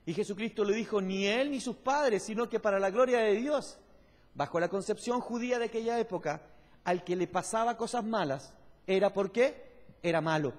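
High-pitched male preacher in an echoing hall. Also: normal speed. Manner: didactic, explanatory, emphatic. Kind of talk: sermon with questions to the congregation. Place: large church hall, on stage at a pulpit. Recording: echoey church hall with long reverb.

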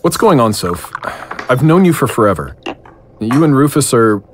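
A man acting in an audio drama as a bewildered, lovesick man, speaking earnestly and haltingly. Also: medium pitch, very animated, a little hiss or hum, lightly acted. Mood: bewildered, pleading. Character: bewildered, lovesick, weary man pleading with his beloved.

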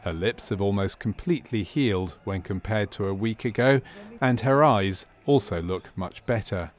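A man's voice: fairly low pitch, normal speed. A man reading scripted narration for a medical training film.

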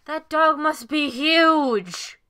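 A woman playing a feisty, clumsy teenage girl in an amateur anime audio drama, brash and expressive. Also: high voice, extremely slow, exaggerated voice. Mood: enthusiastic.

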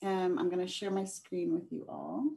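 A woman's voice: lowish voice, moderate pace, gravelly, husky. Woman presenting steadily on a video call. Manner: steady, informative, with frequent ums. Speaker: behavioral health coordinator. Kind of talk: presenting over a shared screen.

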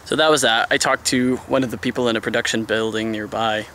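A man's voice: medium pitch, fairly even intonation, quick pace, sharp tone. A young man vlogging casually with a camera held at arm's length, joking and teasing himself as he talks. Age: young adult. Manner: joking, playful, self-mocking. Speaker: vlogger, team member. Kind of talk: casual handheld vlog chat. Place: outdoors by an old building, later indoors. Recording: noisy outdoor handheld audio.

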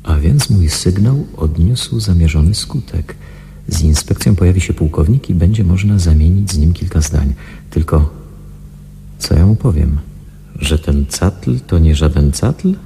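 A man's voice: low voice, lively intonation, measured pace, creaky, warm tone, slight background noise, full character voice. An actor playing a suspicious secret investigator in a radio drama, brooding and questioning as he thinks aloud. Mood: watchful, puzzled. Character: suspicious male secret investigator posing as a patient. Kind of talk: radio drama acting with inner monologue.

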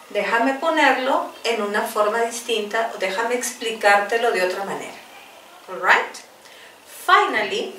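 A woman in her forties with a Spanish accent, teaching English phrases at a whiteboard and repeating each one slowly and clearly. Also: on the high side, a bit bright, slight background noise. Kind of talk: bilingual English lesson, drilling phrases. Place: small classroom in front of a whiteboard. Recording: roomy, distant camera audio.

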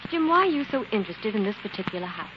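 A woman's voice: medium pitch, sing-song, rapid-fire, close to an everyday voice. A woman with an American accent playing a detective's alert assistant in a 1940s radio drama. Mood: uneasy. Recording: muffled, noisy 1940s radio recording.

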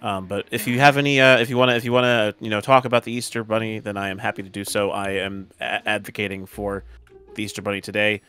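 A man playing a patient robot professor who explains things haltingly to a class in a Minecraft roleplay. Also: fairly low pitch, level tone, bright, forceful tone, faint music behind. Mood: patient, instructing.

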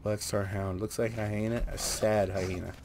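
A man's voice: on the low side, flat delivery, normal speed, a little mellow. Casual male gamer over game noise. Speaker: gaming streamer. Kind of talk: casual gameplay commentary. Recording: constant game noise behind. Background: fantasy video game sounds.

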